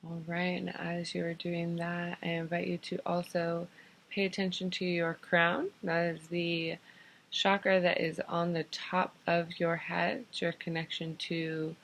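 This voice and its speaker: A woman leading a guided meditation in an unhurried, soothing manner, giving gentle improvised instructions in short phrases with pauses. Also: lowish voice, flat delivery, vocal fry, low-quality recording. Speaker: guided meditation teacher and host.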